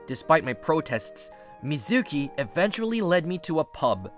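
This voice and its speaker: A woman's voice: low voice, very animated, moderate pace, faint music behind. A woman voicing a stubbornly guarded, exasperated young male office recruit in a manga dub, narrating and speaking his lines defensively. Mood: guarded, resigned. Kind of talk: dramatized first-person story narration and dialogue.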